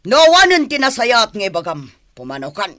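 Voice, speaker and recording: A woman's voice: on the high side, huge pitch swings, moderate pace, sharp tone, no echo, clean studio sound, exaggerated voice. A Filipino woman playing a haughty, short-tempered lady of a mansion, commanding in radio drama style. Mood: angry, scornful.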